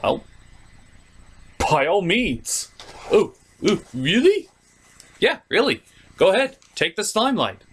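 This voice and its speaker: A man's voice: sits high, very animated, slow pace, pauses often, husky. A man hosting a cartoon review show with theatrical, sardonic comedic commentary.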